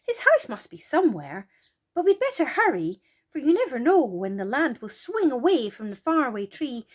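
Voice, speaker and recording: A cautious young girl exploring in a storybook, voiced by a woman reading aloud. She sounds urgent and sensible, with lively rises and falls. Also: high-pitched, moderate pace, pitched above the performer's own voice. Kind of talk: storybook character voice.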